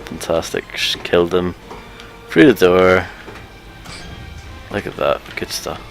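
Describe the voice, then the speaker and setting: A man's voice: low-pitched, slow, vocal fry, soft background music. A man giving casual commentary as he plays a game, dry and sarcastic in short, clipped remarks. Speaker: co-host playing the game.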